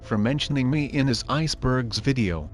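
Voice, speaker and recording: A man's voice: mid-range voice, expressive, moderate pace, faint music behind. A man speaking to viewers in a message of thanks.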